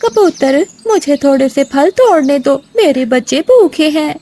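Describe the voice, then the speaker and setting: A woman's voice: high-pitched, expressive, a little slow, dark tone. A woman voicing a lazy, irritable mother crow with a complaining, snappish manner in a moral-story cartoon. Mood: pleading.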